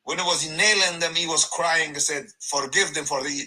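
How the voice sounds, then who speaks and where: A middle-aged man from Afghanistan telling his story in a halting, emphatic, animated way with many restarts. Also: on the high side, poor audio. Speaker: guest giving a testimony. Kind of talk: conversational personal testimony.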